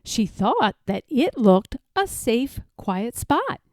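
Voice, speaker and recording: Animated woman reading a storybook. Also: a little high, slow, no room sound, studio quality. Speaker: storybook reader. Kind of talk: expressive scripted children's story narration.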